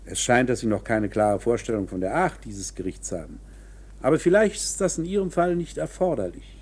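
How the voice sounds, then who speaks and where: An actor in a German radio play playing a knowing, coolly matter-of-fact court insider who explains things in a measured, insinuating, officious way. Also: male voice, medium pitch, lively intonation, darkish tone, slightly rough. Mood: coolly lecturing.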